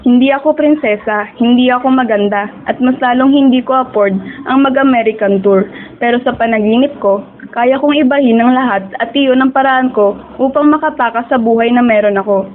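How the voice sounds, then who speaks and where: A young woman acting as a dreamy, self-doubting high school girl who narrates her own story in a radio drama, mixing in English. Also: brisk, lightly acted. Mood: reflective. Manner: code-switches into English. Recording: tinny, phone-like audio with hiss.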